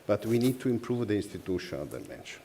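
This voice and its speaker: Italian guy on a panel, lowish voice, earnest, stops and restarts while he thinks. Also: very animated, fast, warm tone. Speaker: panelist, university network representative. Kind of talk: panel discussion.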